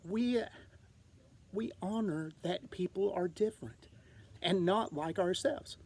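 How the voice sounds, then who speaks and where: A storyteller reading a picture book aloud to children, gently and simply explaining as they go. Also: mid-range voice, takes it slowly, husky. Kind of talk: expressive picture-book narration.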